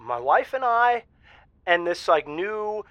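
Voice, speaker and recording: A man's voice: high-pitched, big pitch swings, takes it slowly, no echo, heavily acted. A man playing a nervous, eager middle-aged husband calling a radio show in an audio drama, hesitant and stammering. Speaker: caller. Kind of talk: semi-improvised audio drama. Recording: slightly dull, band-limited call-in sound.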